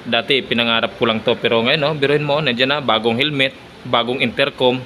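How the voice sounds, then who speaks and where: A man's voice: medium pitch, steady tone, brisk, pushed and bright, a little hiss or hum. A man with a Filipino accent chatting casually to his followers as a vlogger, often repeating himself and tacking on little tag questions. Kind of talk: casual vlog chat to followers.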